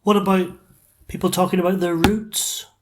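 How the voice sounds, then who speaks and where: A man as host giving drawn-out, mock-nostalgic linking narration. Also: sits high, little pitch movement, background noise.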